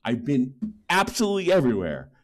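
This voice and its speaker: A man's voice: medium pitch, huge pitch swings, fast talker. Boastful ranting middle-aged man.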